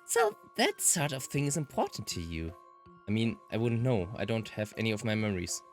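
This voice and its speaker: A woman's voice: very deep voice, wildly swooping pitch, a little fast, faint music behind, heavily acted. A woman voicing an earnest, uneasy protagonist with memory loss in a visual novel, reading in a hesitant, questioning way.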